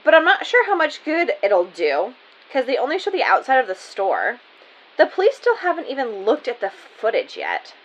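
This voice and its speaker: A woman's voice: sits high, wildly swooping pitch, moderate pace, full character voice, poor audio. A woman playing a flippant, easily panicked store worker in an audio drama. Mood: casual, offhand. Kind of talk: amateur audio drama acting.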